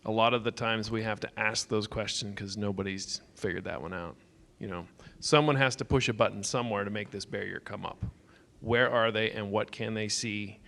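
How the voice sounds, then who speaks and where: A man's voice: fairly low pitch, steady tone, normal speed, vocal fry, few pauses, fairly bright tone. A man speaking on a conference panel, explaining technical points in a matter-of-fact way. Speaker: security consultant on a panel. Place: conference stage.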